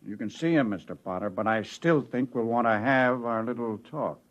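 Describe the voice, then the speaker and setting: A man's voice: mid-range voice, moderate pace, a little hiss or hum, natural voice. A man acting a firm, officious police officer in an old radio drama. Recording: dull old radio recording.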